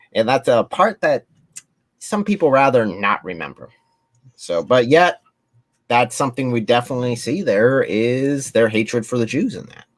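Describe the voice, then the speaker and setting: A man hosting a casual livestream chat, speaking earnestly and stumbling over his words. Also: medium pitch.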